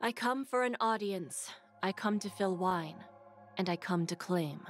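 A woman voicing an elegant, seductive schemer in a video game, poised and deliberate, with music behind. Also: mid-range voice, lightly acted. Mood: poised, ominous.

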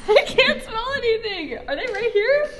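A woman's voice: very high-pitched, sing-song, heavy background noise. A young woman vlogging a blindfold challenge in a living room, giggly and dramatic. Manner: giggly, dramatic, quick reactions. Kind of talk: excited casual chat. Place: bright living room indoors.

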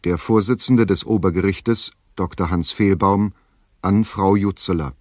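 Radio play: sober official announcer, deep voice, dry studio sound, formal delivery. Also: male voice, a little slow, no room sound. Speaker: narrator announcing documents.

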